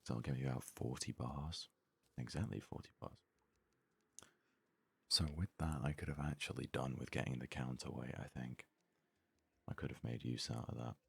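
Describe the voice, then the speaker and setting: A man doing hushed ASMR gaming commentary with a gravelly, husky voice, casually musing aloud close to the microphone. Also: a bass voice, fairly even intonation, moderate pace, long pauses, no room sound. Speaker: let's play host.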